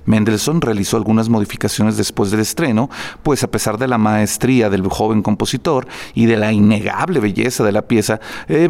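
Gravelly concert presenter. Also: male voice, mid-range voice, a little mellow. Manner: reads prepared notes with fillers, restarts and asides. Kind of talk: spoken program notes before a concert.

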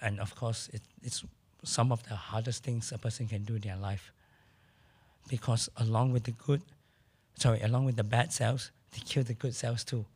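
A middle-aged man with a hoarse voice, speaking candidly as a podcast guest in casual conversation. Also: medium pitch, steady tone, moderate pace, darkish tone, dry recording. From Malaysia. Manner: candid, matter-of-fact, occasional restarts.

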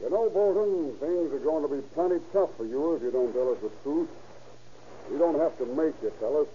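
A man's voice: fairly high pitch, animated, fairly quick, warm tone, natural voice. A man playing a firm, probing police captain interrogating people in a 1930s radio crime drama. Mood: stern, pressing. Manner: interrogating, authoritative, formal. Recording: dull, noisy old radio recording.

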